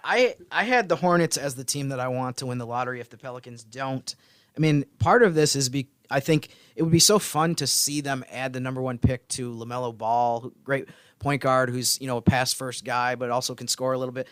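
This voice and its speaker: Male sports podcast co-host, medium pitch, measured casual analysis, dry room sound. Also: fairly bright tone, no room sound.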